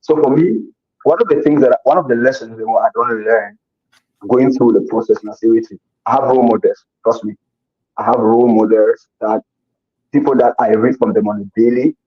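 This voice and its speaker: A man's voice: medium pitch, a little fast, husky. A man, answering interview questions in an earnest, casual way, often repeating words as he thinks. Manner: earnest, repeats himself while searching for words. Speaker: interview guest. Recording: dull lo-fi recording.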